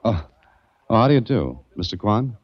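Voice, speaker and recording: A man's voice: fairly low pitch, big pitch swings, normal speed, breathy edge, dry recording. An actor playing a curious, wry male adventurer who also narrates, casual and probing, in 1940s radio style. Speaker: narrator and lead. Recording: dull dry old radio recording.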